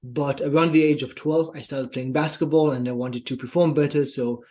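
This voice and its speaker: A man's voice: fairly high pitch, steady tone, normal speed, a little mellow. A young man from Israel with an Israeli accent, a fitness trainer telling his story earnestly as a podcast guest. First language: Hebrew. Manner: earnest storytelling, often adds "right?". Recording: dull, lo-fi sound.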